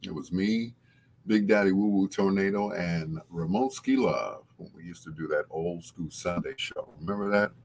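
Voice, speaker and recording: A man hosting a late-night music radio show, chatting casually and informally to his live listeners in a husky voice. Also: low voice, normal speed, darkish tone, slight background noise. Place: broadcasting on radio and live video stream.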